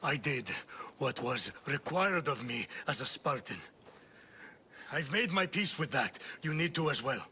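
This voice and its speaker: A proud Spartan father and soldier, stern and burdened by duty. He speaks with grave, formal command and a tense, bright edge, in video game drama style. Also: medium pitch, animated, a little slow, a little hiss or hum. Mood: grave, guarded, then defiant, forceful.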